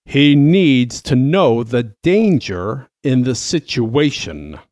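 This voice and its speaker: A man's voice: medium pitch, big pitch swings, slow, a little mellow, dry recording, studio quality. A man playing a stern old cardinal in an audio drama, grave, suspicious and zealous, with a sermonizing manner. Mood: grimly urgent.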